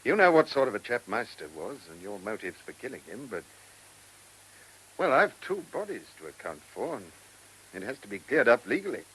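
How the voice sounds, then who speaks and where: An actor in a radio drama playing a dogged, plain-spoken English police inspector with an English accent and a matter-of-fact manner. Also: male voice, medium pitch, very animated, normal speed, fairly bright tone, slightly rough, low-quality recording. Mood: measured, probing.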